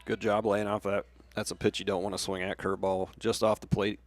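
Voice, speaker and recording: A man's voice: fairly low pitch, level tone, brisk. An American man giving conversational color commentary on a baseball game, with plainspoken, coach-like explanations.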